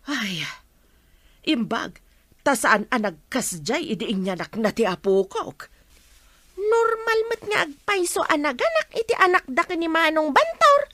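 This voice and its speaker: An actress with an Ilocano accent playing a gossipy, suspicious woman fretting over family affairs in a radio drama, with exclamations and drawn-out sighs. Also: high voice, pushed and bright, dry recording, exaggerated voice.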